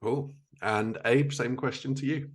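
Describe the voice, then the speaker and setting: A man's voice: mid-range voice, fairly even intonation, unhurried. Upbeat male podcast host. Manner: upbeat, playful teasing. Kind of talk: scripted intro then interview chat.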